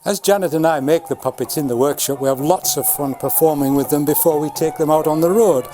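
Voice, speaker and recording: A man in his seventies, a puppeteer, explaining and showing his craft in a measured way with dry humour. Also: fairly high pitch, over music. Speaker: puppeteer, Punch and Judy showman. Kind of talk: informal talk demonstrating puppets.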